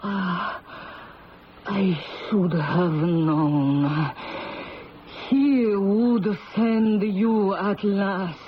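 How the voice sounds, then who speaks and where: An actress playing an ancient, dying Italian grandmother who tells fortunes, speaking with an Italian accent in a halting, theatrical, mournful way in a radio drama. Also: medium pitch. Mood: awed, insistent. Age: older. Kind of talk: 1970s radio drama acting. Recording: muffled, noisy old radio broadcast.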